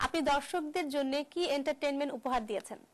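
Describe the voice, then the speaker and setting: A woman's voice: high-pitched, fast. A woman presenting an interview, asking questions politely and formally.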